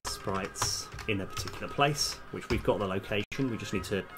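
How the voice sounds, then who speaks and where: A man live-streaming as a game developer, casually thinking aloud in halting mutters as he works. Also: fairly low pitch. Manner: halting, muttering to self while working. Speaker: game developer livestream host. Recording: music behind the voice. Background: music, computer keyboard typing.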